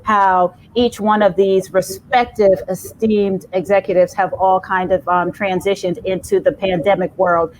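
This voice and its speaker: A woman's voice: medium pitch, level tone, measured pace, few pauses, slight background noise, low-quality recording. A woman hosting a virtual panel discussion in a chatty, rambling way. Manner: chatty, rambling intros with fillers. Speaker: panel host.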